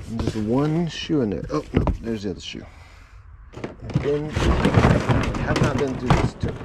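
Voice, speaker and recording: A man picks through a storage unit and talks slowly, half to himself, perking up when he finds something good. The recording comes from a camera on his head outdoors. Loud noise sits under his voice. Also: mid-range voice. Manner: unhurried, muttering to himself, upbeat when finding items. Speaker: reseller sorting a storage unit. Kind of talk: casual running commentary while digging. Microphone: head-mounted camera, point of view.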